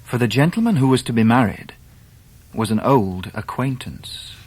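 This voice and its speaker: An actor playing a genteel Victorian gentleman who narrates his youth in a radio drama, with an English accent and a measured, wry, literary manner. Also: medium pitch, big pitch swings. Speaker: narrator in character.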